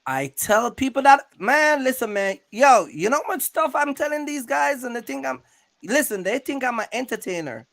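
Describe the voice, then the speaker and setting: High-pitched, creaky-voiced man, rambling host. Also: sing-song, normal speed, fairly bright tone. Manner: rambling, repetitive, streetwise slang with frequent check-ins. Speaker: YouTube channel host interviewing a guest. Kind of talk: casual interview chat about trucking.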